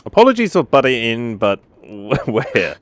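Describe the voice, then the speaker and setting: Low-key gamer commentating, lively swings in pitch. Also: female voice, moderate pace, dry recording. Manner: puzzled, questioning, reacts aloud to the story. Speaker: let's player. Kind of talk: casual game commentary.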